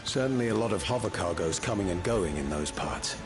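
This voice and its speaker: A man playing a grave, watchful ally in a fantasy video game, serious and measured. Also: low-pitched, animated, over music. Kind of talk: dramatic video game acting.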